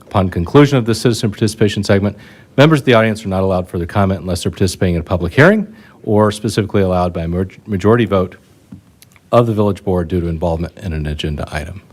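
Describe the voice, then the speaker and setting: Man, board chair, relaxed plain speech, reads rules aloud, lowish voice. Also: racing. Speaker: village board president. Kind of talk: chairing a public meeting.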